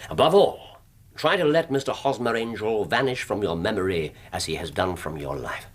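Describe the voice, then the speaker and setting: Victorian detective, English accent, husky, lively intonation, courteous, authoritative, period radio drama. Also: male voice, fairly low pitch. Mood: grave reassurance. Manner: measured, formal, expressive.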